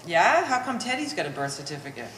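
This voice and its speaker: A woman's voice: fairly low pitch, very animated, fast talker, a bit bright. A woman playing a resentful, bookish adult daughter in a stage play, speaking with wordy sarcasm. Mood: bitter. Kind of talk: live stage play acting. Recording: echoey hall, distant mic, faint noise.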